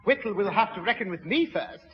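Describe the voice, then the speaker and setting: A man's voice: high voice, expressive, a little fast, husky, heavily acted. An actor playing a pompous, fussy, easily indignant man with an English accent and formal manner, in a 1960s radio sitcom. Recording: muffled, reverberant old radio recording.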